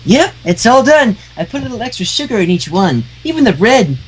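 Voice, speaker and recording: A man voicing a hyper, cheerful young female pony baker who rambles in a high squeaky voice. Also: big pitch swings, fairly quick, husky, heavily acted, rough recording. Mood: bubbly. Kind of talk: amateur reading of fan fiction.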